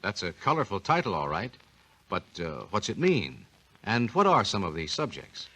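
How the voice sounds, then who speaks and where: A lower-pitched man hosts an old 1940s radio talk show, asking the guest folksy questions in a lively, swooping voice. The recording has the sound of a vintage broadcast. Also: normal speed, slightly rough, poor audio. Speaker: radio host and announcer.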